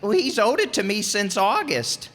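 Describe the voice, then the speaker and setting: Woman playing eager schoolboy, lively, echoey hall. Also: mid-range voice, moderate pace. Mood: eager, earnest. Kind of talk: 1940s radio comedy acting. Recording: very echoey hall stage recording.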